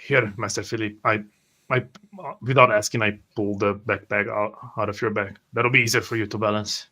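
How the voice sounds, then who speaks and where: Lowish-voiced man roleplaying a helper. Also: fairly even intonation, a little fast, pushed and bright. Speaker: player. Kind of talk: in-character tabletop roleplay.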